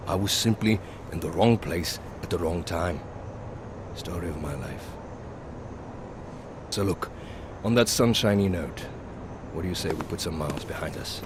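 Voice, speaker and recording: An actor playing a blunt, wry, weary pilot with a philosophical streak in a video game cutscene. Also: male voice, sits low, noisy background, lightly acted. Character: weary, philosophical male pilot with an old knee injury.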